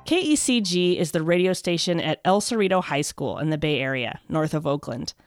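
A woman's voice: low-pitched, expressive, a little fast, faint music behind. A woman reporter reading scripted narration for a radio feature. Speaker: education reporter and podcast host.

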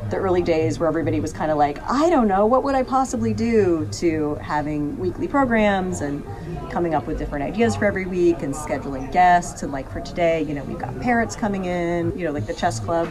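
A woman who teaches high school, talking casually and enthusiastically as she tells anecdotes in an interview. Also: expressive, a little fast, crowd noise.

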